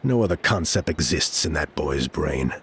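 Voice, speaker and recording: A man's voice: extremely low pitch, animated, normal speed, fairly bright tone, breathy edge, heavily acted. A man voicing an exasperated tribesman who complains sarcastically about others, then coaxes the listeners into helping. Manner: exasperated gossip, sarcastic mimicry.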